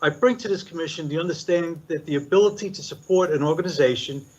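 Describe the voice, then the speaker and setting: A man's voice: a little high, a little mellow. Older man giving formal remarks, lo-fi. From Puerto Rico. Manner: measured, deliberate delivery with listed points. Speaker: commissioner. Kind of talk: formal prepared statement at a public meeting. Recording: dull lo-fi meeting recording.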